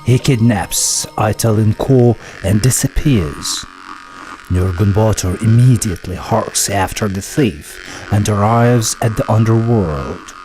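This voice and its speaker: A man narrating a folk epic as scripted storytelling, in a measured, solemn manner. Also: fairly low pitch, poor audio. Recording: voice-over with loud music behind. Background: loud music.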